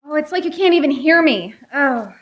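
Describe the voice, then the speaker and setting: A woman's voice: high-pitched, animated, normal speed, dull audio. A woman playing a fed-up, melodramatic girlfriend breaking things off in a radio-style comedy sketch. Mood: exasperated. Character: exasperated girlfriend dumping a clingy email service.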